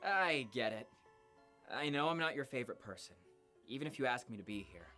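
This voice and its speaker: Woman voicing an easygoing teenage boy with lively pitch. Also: a little fast, faint music behind. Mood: apologetic. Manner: casual, hesitant, placating. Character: easygoing teenage boy, nonchalant prankster turned apologetic. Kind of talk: visual novel character acting.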